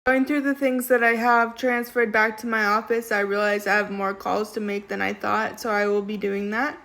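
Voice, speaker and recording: A woman with a mid-pitched voice narrates a personal day-in-the-life vlog. Her delivery is measured and articulate, and her phrasing leans slightly formal as she talks directly to her viewers. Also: flat delivery, a bit bright. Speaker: vlogger.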